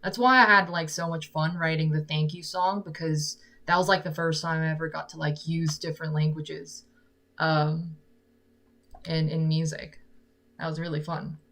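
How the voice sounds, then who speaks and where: Low-voiced young woman, rambling chat. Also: fairly even intonation, moderate pace, fairly bright tone. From South Korea. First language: Korean. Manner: rambling, fills nearly every phrase with "like". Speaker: singer-songwriter streamer. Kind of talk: casual live-stream chat with viewers.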